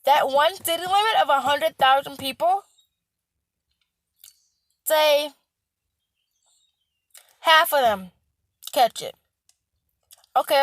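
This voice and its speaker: A young woman speaks in a high voice that is hoarse and cracking. She rants bluntly and emphatically, repeating points and swearing as she lectures her viewers. The sound is dry and close, with no room around her. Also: takes it slowly, pauses often, fairly bright tone, no room sound. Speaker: healthcare worker. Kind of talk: casual vlog rant.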